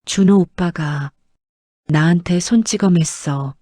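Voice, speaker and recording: A woman's voice: fairly low pitch, slow pace, mellow, no room sound, clean studio sound. A woman playing an adult daughter confiding plaintively to her father in an audio drama.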